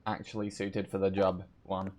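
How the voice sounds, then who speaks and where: A man's voice: sits low, completely flat delivery, moderate pace, noisy background. A man giving casual live gameplay commentary as a streamer, with dry, offhand reactions. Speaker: game streamer. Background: game music.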